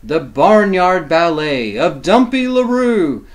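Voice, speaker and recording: Slow, animated man reading a storybook. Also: high-pitched. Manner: expressive, simple explanatory asides for children. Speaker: teacher reading aloud to kindergarteners. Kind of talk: animated children's storybook read-aloud.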